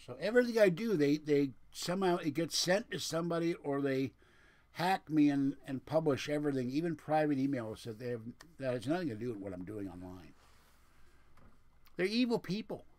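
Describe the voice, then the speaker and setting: A man's voice: a little high, expressive, a little fast, darkish tone. A man with a lisp chatting casually and rambling to viewers on a livestream, with a digressive, grumbling manner. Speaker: livestream host.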